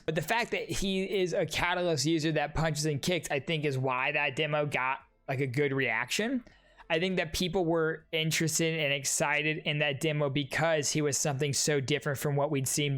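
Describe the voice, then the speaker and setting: A man's voice: fairly high pitch, fairly bright tone. A young man reacting to game trailers as a content creator, talking in a casual, rambling, chatty way. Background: game trailer music.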